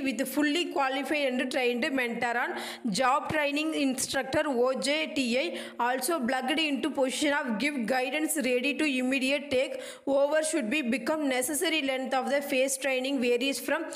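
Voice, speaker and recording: A woman reading a scripted lesson aloud as a lecturer, in a halting, choppy, phrase-by-phrase way. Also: sits high, steady tone, a bit bright. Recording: echoey room.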